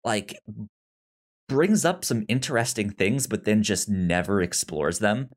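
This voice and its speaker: A man with a fairly low voice talks as a podcast co-host in a loose film discussion. He is halting and rambling, often doubling back to restart his phrases.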